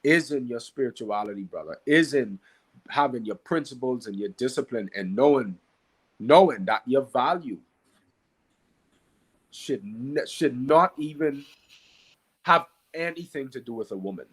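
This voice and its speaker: A man from the Bahamas with a Bahamian accent and a slightly husky voice, teaching conversationally and emphatically, repeating key phrases and asking rhetorical questions. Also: medium pitch, expressive, a little slow, pauses often, rough recording. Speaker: podcast guest, Qigong practitioner and teacher.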